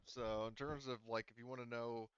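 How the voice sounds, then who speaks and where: A man's voice: medium pitch, steady tone, moderate pace, clear, resonant tone, muffled recording. A man running a tabletop roleplaying game as game master, explaining things casually and somewhat hesitantly.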